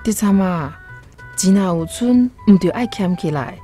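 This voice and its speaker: An actress playing a thrifty, anxious wife in a radio drama, gently nagging and coaxing with a Taiwanese accent. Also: female voice, medium pitch, lively intonation, normal speed, faint music behind, natural voice. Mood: urging.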